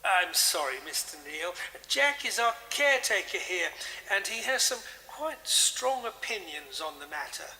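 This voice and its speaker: Bright, chummy English vicar. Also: male voice, high-pitched, very animated, measured pace, a little hiss or hum, full character voice, rough recording. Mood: chummy, placating. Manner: genteel, chummy, dismissive of superstition. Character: genial, chatty, self-consciously hip English country vicar, man. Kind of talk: audio drama acting.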